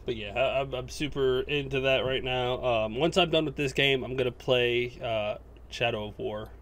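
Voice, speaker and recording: A man's voice: medium pitch, moderate pace, a bit bright. A man chatting casually and enthusiastically about video games as a podcast co-host, rambling and swearing freely.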